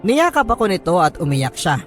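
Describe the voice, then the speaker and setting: A man's voice: high-pitched, sing-song, a little fast. A man narrating a dramatic first-person story as a radio host and storyteller. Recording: music under the voice.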